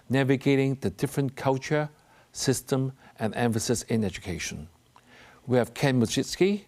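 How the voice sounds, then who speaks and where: A man's voice: mid-range voice, a little mellow. A man hosting a television talk show, presenting and putting questions to guests in a studio interview.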